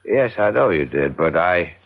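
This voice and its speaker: A man acting an anxious, earnest, determined family man in a 1970s radio drama, speaking in a halting, pleading way. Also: low-pitched, animated, vocal fry, heavily acted. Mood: tense pleading. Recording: tinny old radio broadcast recording.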